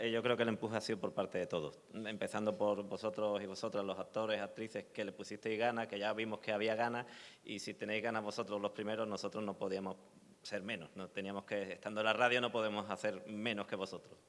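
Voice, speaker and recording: A man's voice: lowish voice, fairly even intonation, fast talker, a bit bright. A man, a sound technician, speaking informally and explaining things at a presentation. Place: large indoor hall at a public event. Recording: echoey hall, long reverb.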